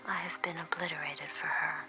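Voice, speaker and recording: An actress playing a bereft captive mother, narrating her thoughts in a breathy whisper for an audio drama. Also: sits low, steady tone, fairly quick, over music, close to an everyday voice, low-quality recording. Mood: desolate. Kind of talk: dramatic first-person narration.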